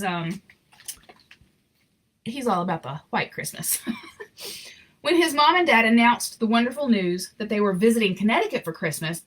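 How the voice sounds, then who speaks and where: A woman reading a children's picture book aloud in an expressive, informal way with chatty asides. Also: a little high. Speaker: story reader.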